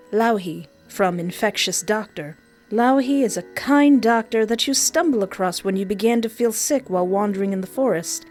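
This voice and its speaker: A woman's voice: medium pitch, darkish tone. Female countdown host, mid-range voice, emphatic dramatic storytelling over background music. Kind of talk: scripted countdown narration.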